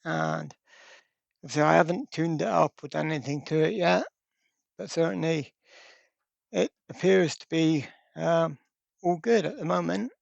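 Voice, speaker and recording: A man's voice: fairly high pitch, measured pace, creaky, pauses often, no echo, highs cut off. A man giving a casual, rambling hands-on explanation as a video host, often hesitant as he goes. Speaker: host of a radio repair channel. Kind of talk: casual hands-on product talk.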